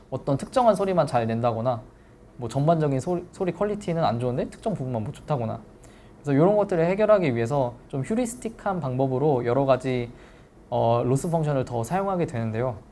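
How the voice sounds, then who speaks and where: A lecturer with a mellow, relaxed voice at a middling pitch presents a technical seminar. The delivery is explanatory and polite, with informal asides, over faint background noise.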